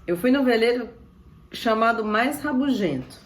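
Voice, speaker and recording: A chatty woman talks straight to the camera, voice rough and hoarse from a cough. Her pitch sits a bit high, and she keeps it upbeat with quick asides. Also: expressive, a little hiss or hum. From Ilhabela, Brazil. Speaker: sailing vlogger. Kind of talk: casual chat to camera.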